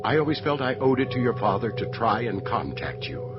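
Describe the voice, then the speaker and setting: An actor playing a fatherly older rebel general in a science fiction radio drama, measured and authoritative. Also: medium pitch, big pitch swings, natural voice. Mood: solemn. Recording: muffled radio play, music behind.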